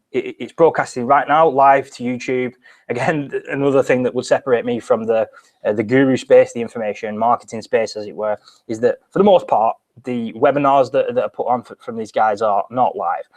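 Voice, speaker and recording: A young man with a British accent hosts a live online session from a small bright office. He talks energetically and gestures with his hands, often restarting his phrases mid-sentence as he goes. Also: medium pitch, fairly even intonation, a little fast. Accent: British English. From Britain. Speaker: webinar host. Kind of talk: live webinar presentation.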